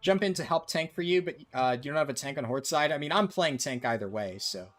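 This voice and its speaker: A man's voice: fairly high pitch, lively intonation, fairly quick, fairly bright tone, faint music behind. A man live-streaming a game, chatting with viewers in a casual way with lots of ums and likes. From United States. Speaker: livestreaming gamer.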